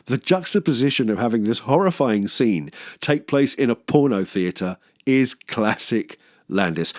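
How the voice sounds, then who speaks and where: A man's voice: medium pitch, a little mellow, no echo, clean studio sound. A man hosting a film review, delivering scripted video essay narration in an emphatic, opinionated way with colourful turns of phrase. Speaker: host of a film review channel.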